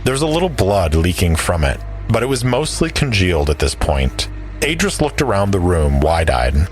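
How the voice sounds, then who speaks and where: Man, low voice, storytelling narration, scripted audio drama, music bed. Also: very animated, moderate pace. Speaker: narrator and player.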